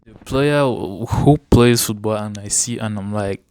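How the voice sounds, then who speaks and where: A man's voice: on the low side, measured pace, darkish tone, clear, resonant tone, dry recording. A man, chatting casually about sport as a guest in an interview. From Cameroon.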